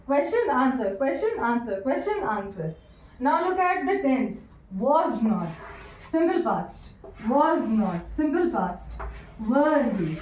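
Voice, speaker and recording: A woman teaching English grammar, repeating each sentence slowly word by word and asking her students questions. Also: sits high, a little mellow, slight background noise. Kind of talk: classroom grammar lesson. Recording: echoey room, voice from a distance.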